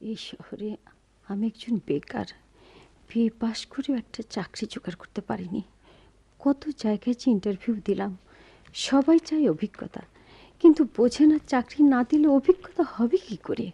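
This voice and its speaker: A mellow, pleading young woman. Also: fairly high pitch, lively intonation, normal speed, gravelly, slightly rough, highs cut off, exaggerated voice. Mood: weary, downcast. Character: needy, educated, unemployed young woman, pleading softly. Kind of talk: radio drama acting.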